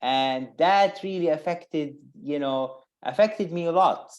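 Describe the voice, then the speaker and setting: A man with a Palestinian accent, an interview guest telling personal stories informally, haltingly and with repeated words. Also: fairly high pitch, a little mellow, dull audio. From Bethlehem, Palestine.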